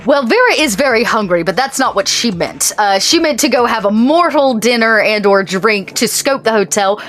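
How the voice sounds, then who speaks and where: A woman's voice: on the high side, expressive, moderate pace, fairly bright tone, faint music behind. A woman casually narrating her character's choices as a player in a tabletop roleplay game.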